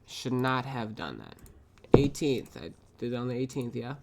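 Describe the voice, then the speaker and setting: A man's voice: mid-range voice, big pitch swings, normal speed, vocal fry, very noisy. Sniffly man muttering over his trades. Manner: thinks aloud, profane, mutters to himself. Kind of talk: casual screen-recorded trade recap.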